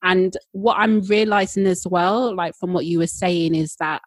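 Husky woman co-hosting with dry sound. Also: lowish voice, fairly even intonation, unhurried, dry recording. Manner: enthusiastic, chatty. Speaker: podcast co-host. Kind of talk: casual podcast chat.

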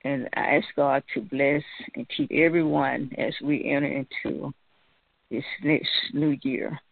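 A man's voice: mid-range voice, creaky, dry recording. A man in a church thanking everyone and making heartfelt prayer requests on a conference call. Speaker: church member. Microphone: telephone. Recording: tinny, dry phone line.

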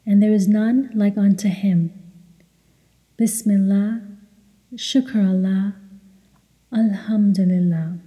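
Very mellow woman guiding meditation, heavy reverb. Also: medium pitch, steady tone. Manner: measured, soothing, instructional. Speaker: guided meditation leader. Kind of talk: guided meditation narration with recitation. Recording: long hall-like reverb.

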